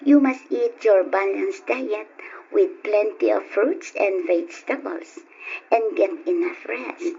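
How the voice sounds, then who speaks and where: An actress playing a professional, friendly female doctor in an old-time radio drama, speaking in a measured, instructive way. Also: mid-range voice, gravelly, mellow, husky, full character voice. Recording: dull, noisy old radio recording.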